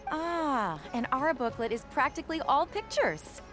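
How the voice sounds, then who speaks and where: A woman's voice: sits high, sing-song, unhurried, over music. A woman voicing a polite, practical, reassuring young inventor in a game.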